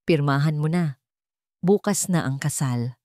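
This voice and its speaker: A woman's voice: deep voice, measured pace, warm tone, dry recording. A woman narrator plays a spoiled young rich man in a romance drama. He is cold, curt and dismissive, speaking with bitterness in a dry recording. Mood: cold, bitter.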